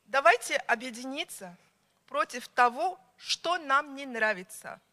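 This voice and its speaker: Slow, high, bright-voiced woman speaker. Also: lively intonation. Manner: slow, emphatic, pausing between list items. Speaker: conference speaker. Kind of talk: formal prepared speech.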